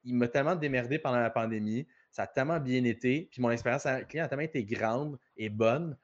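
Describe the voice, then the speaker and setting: A man's voice: mid-range voice, fairly quick, muffled recording. A man with a Quebec French accent hosting a casual business podcast, telling rambling stories. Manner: talkative, rambling anecdotes. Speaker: podcast host.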